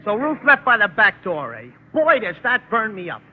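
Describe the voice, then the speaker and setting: High-pitched lovesick teenage boy. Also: wildly swooping pitch, normal speed. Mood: peeved. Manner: naive, eager, plaintive. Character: earnest, lovesick, high-voiced teenage schoolboy. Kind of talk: 1940s radio sitcom acting. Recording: muffled, faintly noisy old radio recording.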